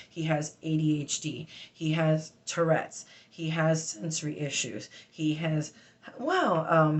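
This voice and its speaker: A woman venting in a casual personal story, blunt and sweary, with halting, emphatic, agitated speech broken by sudden vocal tics. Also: deep voice. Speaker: vlogger telling a personal story.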